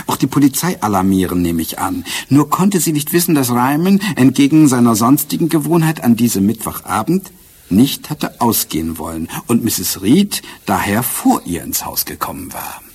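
An actor playing a shrewd, self-assured police inspector in a crime radio drama, explaining in a measured, dryly ironic way. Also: male voice, lowish voice, husky. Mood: dryly satisfied.

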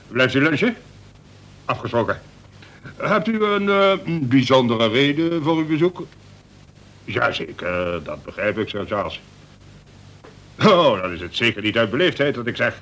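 A man's voice: mid-range voice, very animated, moderate pace, pauses often, fairly bright tone. A man acting a formal, courteous colonel who runs a prison, in a Dutch radio play. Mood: courteous, brisk. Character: polite, brisk male colonel running a prison. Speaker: actor.

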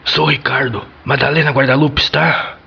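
Guy doing gushing old-fashioned lover boy, creaky voice, radio soap, muffled sound. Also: mid-range voice, level tone, moderate pace, pushed and bright, a little hiss or hum. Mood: anxious, polite. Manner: gushing, formal. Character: Ricardo Antônio, earnest old-fashioned smitten suitor. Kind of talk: melodramatic romantic radio soap acting. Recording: dull lo-fi audio under music.

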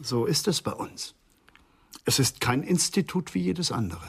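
A man's voice: a little high, expressive, a little slow, gravelly, breathy edge, exaggerated voice. Formal, earnest male psychoanalyst. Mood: earnest, reverent. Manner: precise, formal, explanatory. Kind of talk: German radio crime drama acting.

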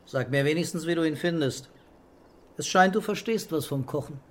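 Guy doing a calm cop boss, dry and needling, voice a bit on the high side, crime audio drama. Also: moderate pace, natural voice. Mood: coolly provocative. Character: unflappable, laconic male police commissioner. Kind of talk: crime radio drama acting.

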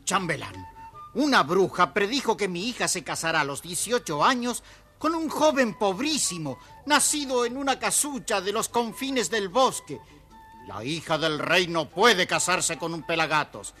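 A man's voice: high-pitched, lively intonation, moderate pace, sharp tone, soft background music. An actor playing a haughty, scheming king in a children's audio fairy tale. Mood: haughty, alarmed. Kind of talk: children's audio story acting.

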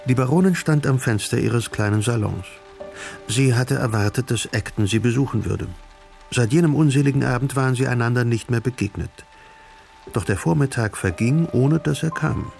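A man playing a literary storyteller in a radio drama, with a medium-pitched, creaky voice. He narrates in a measured, composed way over soft music. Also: lightly acted.

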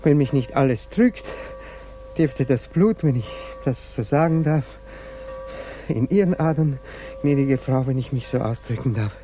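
An actor in a German radio drama playing a courtly, smug, insistent suitor with a formal, halting manner. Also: male voice, mid-range voice, expressive, warm tone, faint music behind, close to an everyday voice. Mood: pleading, insistent.

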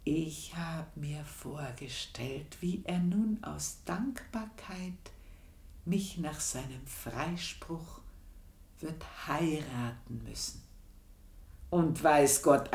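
A woman's voice: on the low side, exaggerated voice. An older woman playing a proud, bitter, obsessive maidservant confessing in a radio play monologue, brooding and emphatic, sinking into murmurs. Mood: brooding, wistful. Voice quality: husky. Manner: slow, brooding, emphatic, dropping into breathy murmurs.

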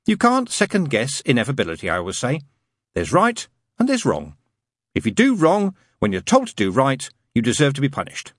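A man's voice: medium pitch, huge pitch swings, fairly quick, dry recording, clean studio sound, pitched above the performer's own voice. A man voicing a fussy, anxious male angel who talks in a prim, hesitant, apologetic way.

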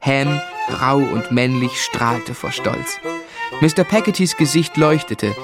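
Earnest young gentleman, mid-range voice, literary radio drama storyteller, sincere, music behind. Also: mid-range voice, slow. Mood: reflective. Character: sincere, admiring young gentleman recounting his own life. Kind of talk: radio drama narration and dialogue.

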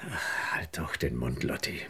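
A man's voice: very deep voice, animated, a little slow, pushed and bright, husky, full character voice. An actor playing a weary, defensive husband pleading with his wife in a German radio drama. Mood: irritated.

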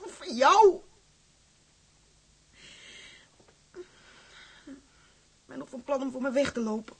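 A feisty working-class housewife married to a seaman, in a radio drama. Her voice is fairly high and husky, and she speaks bluntly, with a sharp tongue. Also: lively intonation, a little fast, full character voice. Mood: emotional.

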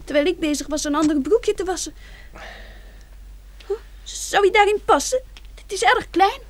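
A woman's voice: very high-pitched, lively intonation, moderate pace. A woman acting in a Dutch radio drama as a brave, frank nine-year-old girl, childlike and artless. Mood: earnest. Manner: childlike, artless, matter-of-fact.

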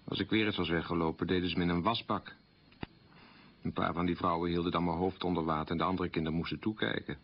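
A man's voice: low voice, flat delivery, fast, highs cut off, a little hiss or hum. An actor playing a proud, wounded man recalling a humiliating wartime childhood in a restrained radio monologue. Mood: bitter recollection. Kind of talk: radio drama monologue, confessional storytelling.

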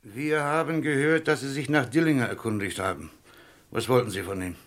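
A man's voice: mid-range voice, fairly quick, close to an everyday voice. An actor playing a threatening gangster henchman in a radio crime drama. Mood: menacing. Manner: threatening, curt.

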